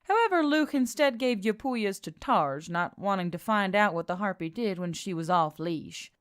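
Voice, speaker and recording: A woman's voice: mid-range voice, lively intonation, moderate pace. A woman narrating a scripted fantasy story as an audiobook reader. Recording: music under the voice.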